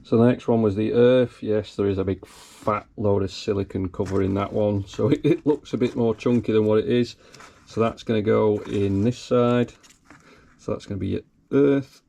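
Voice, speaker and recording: Bloke mumbling thru car wiring, gravelly low mellow voice, bit croaky. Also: male voice, normal speed. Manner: talks himself through each step, thinking aloud. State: slightly croaky. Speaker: car hobbyist. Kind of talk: casual workshop commentary. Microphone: camera mic close to his hands.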